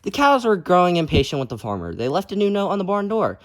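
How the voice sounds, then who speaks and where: Animated young-reader storyteller, male, lively pitch. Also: fast, slight background noise. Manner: encouraging, addressing listeners as friends. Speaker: children's read-aloud host. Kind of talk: expressive picture-book read-aloud.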